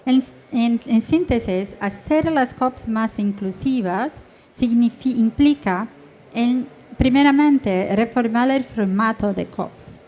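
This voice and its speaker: A woman with a Brazilian Portuguese accent, a native Portuguese speaker, carefully and haltingly reading prepared panel remarks in a second language. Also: on the high side, dark tone, slight background noise.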